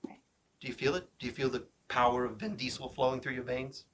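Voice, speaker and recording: A man's voice: mid-range voice, flat delivery, a little fast, a bit bright, a little hiss or hum, close to an everyday voice. Cocky sleazy macho bro played by a man. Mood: bragging. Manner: brash, crude, bragging. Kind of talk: comedy sketch acting.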